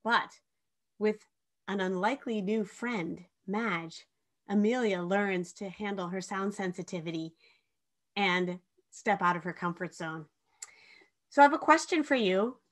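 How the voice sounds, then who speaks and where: A woman's voice: mid-range voice, a little slow. A middle-aged woman, a children's author, speaking to camera in a friendly introduction with a trivia question. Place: indoor room with bookshelves.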